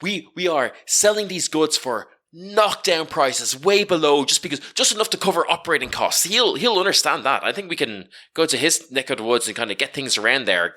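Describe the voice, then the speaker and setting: An exasperated, street-smart woman hustler acted out by a man in a tabletop game. The voice swings widely up and down with a bright, forceful edge. She stammers and haggles as she argues her case. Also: fairly high pitch, heavily acted. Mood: persuasive. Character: Rowena, exasperated street-smart female hustler of stolen goods. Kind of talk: improvised tabletop role-play.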